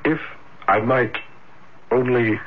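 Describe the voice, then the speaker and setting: Male actor as infatuated young dreamer, florid and breathless, unhurried delivery, muffled noisy radio drama recording. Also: medium pitch, lightly acted. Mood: yearning. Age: young adult. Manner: breathless, hesitant, florid romantic declarations. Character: shy, ardent young dreamer, romantically infatuated. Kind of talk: 1970s radio drama acting.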